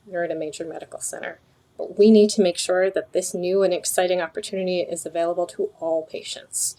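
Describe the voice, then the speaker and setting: A woman speaking as a physician researcher in an interview, explaining things in an articulate, deliberate way. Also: lowish voice, darkish tone. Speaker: physician researcher, podcast guest.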